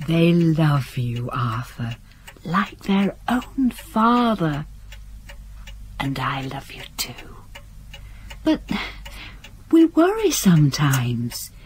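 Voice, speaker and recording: An actress playing a gentle, caring wife who speaks softly and tenderly. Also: female voice, on the low side, big pitch swings, a little hiss or hum, heavily acted. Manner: soft, tender, slow. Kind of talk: radio drama acting.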